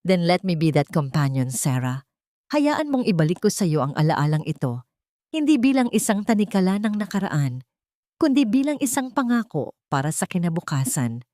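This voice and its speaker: A woman's voice: lowish voice, big pitch swings, moderate pace, warm tone, dry recording, studio quality. A woman narrator voicing an earnest, devoted billionaire man in love, speaking solemnly and tenderly in an audio romance. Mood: pleading.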